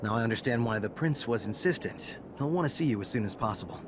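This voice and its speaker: An actor playing a genial, intrigued man welcoming people to his city in a video game, expressive and smooth-talking. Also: medium pitch, fast, close to an everyday voice. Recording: game dialogue over clear ambient noise.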